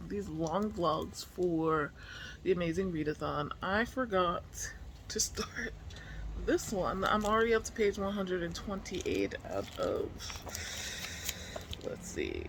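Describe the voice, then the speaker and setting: Casual book vlog chat, unhurried pace, medium pitch, slangy, musing aloud, faint background noise. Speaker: book vlogger. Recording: clearly noisy room ambience.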